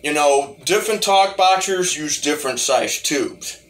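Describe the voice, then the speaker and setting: Slow-talking man with a bright, forceful voice. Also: on the high side, rough recording. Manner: rambling, repeats starts of phrases. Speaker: hobbyist talk box musician. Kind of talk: casual demo talk.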